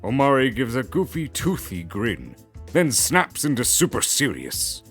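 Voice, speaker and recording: Lively male narrator over music. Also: mid-range voice, measured pace. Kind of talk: scripted dramatic story narration. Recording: music bed under the voice.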